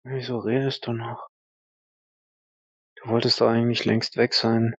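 A man's voice: medium pitch, level tone, a little slow, breathy edge, dry recording, highs cut off. A man playing a stoned, weary young sniper in an audio drama, sluggish, mumbling and detached. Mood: dazed.

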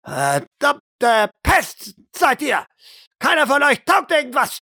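A brutal drill sergeant barks in a strained high, bright voice. He is contemptuous and foul-mouthed, hurling commands at recruits in a role-play audio drama, recorded close and dry. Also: huge pitch swings, slow pace, vocal fry, no room sound, full character voice. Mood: scornful, threatening. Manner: barking, insulting, commanding.

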